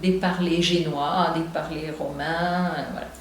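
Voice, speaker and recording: A woman with a Marseille accent speaks in a thoughtful, halting way and restarts her sentences often. The room around her is strongly echoey, with a faint hiss underneath. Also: deep voice, fairly even intonation. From Marseille, southern France. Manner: thoughtful, with many hesitations and restarts. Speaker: poet and translator. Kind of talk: reflective interview talk.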